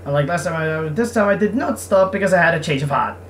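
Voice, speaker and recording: A woman giving casual game commentary and reading game text aloud, speaking haltingly and repeating words. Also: lowish voice, expressive. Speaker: game streamer. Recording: noisy, distant microphone.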